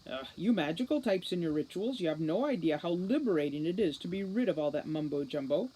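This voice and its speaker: A woman's voice: lowish voice, lively intonation, a little fast, warm tone, breathy edge, poor audio. A crude little male dwarf thief from a fantasy storybook, put on by a woman reader. He is cocky and self-serving, talking in a boastful, wry way. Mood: sardonic. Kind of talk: audiobook children's fantasy character voice.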